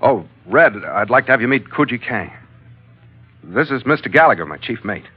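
Hard-boiled sea captain, muffled old radio. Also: male voice, mid-range voice, lively intonation, normal speed, husky, no room sound, soft background music. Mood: subdued. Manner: terse, hard-boiled narration. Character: weary, tough male sea captain narrating his log. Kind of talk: 1940s radio acting.

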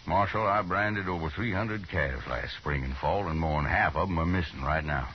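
Man acting a very deep-voiced, proud greenhorn rancher. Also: close to an everyday voice. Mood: bitter, determined. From American. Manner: blunt, brooding, halting. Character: proud, stubborn greenhorn cattle rancher from Alabama. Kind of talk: 1950s radio Western acting. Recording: muffled, hissy 1950s radio recording.

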